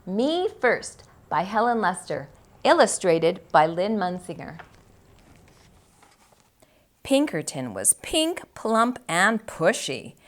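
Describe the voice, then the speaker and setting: A woman hosting a storytime, reading a children's book aloud in an animated style for young listeners. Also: mid-range voice, slow pace, long pauses.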